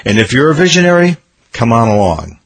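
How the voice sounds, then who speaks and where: A man's voice: medium pitch, sing-song, a little fast. A man hosting a radio show, reading an earnest, promotional year-in-review script.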